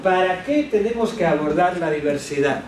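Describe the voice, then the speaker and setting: A man lectures to teachers in an animated way, throwing rhetorical questions out to the room. His voice sits high. He is recorded from a distance in a very echoey hall with clear noise behind him. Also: moderate pace. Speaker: education speaker at a teachers' workshop. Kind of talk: lecture presentation.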